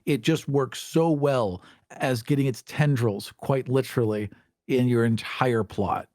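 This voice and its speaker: A man's voice: mid-range voice, unhurried, a little mellow, no echo, clean studio sound. Male panelist, average register, conversational game talk, recorded with no room sound. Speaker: podcast panelist. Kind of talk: casual game discussion.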